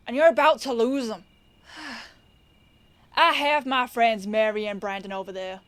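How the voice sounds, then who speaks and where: A female detective, acted by a woman in an audio roleplay, speaking in a fairly high voice with no room echo. She is composed and measured, firm yet kindly, with a folksy, knowing way of talking. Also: lightly acted. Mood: stern.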